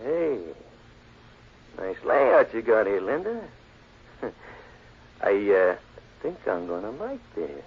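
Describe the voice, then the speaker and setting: Husky excitable little american club owner, stammers, pitch all over, old radio drama. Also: male voice, takes it slowly, pauses often, exaggerated voice. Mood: eager, flirtatious. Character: thin, excitable little club owner, cowardly ladies' man. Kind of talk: 1940s radio crime drama acting. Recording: muffled old radio broadcast.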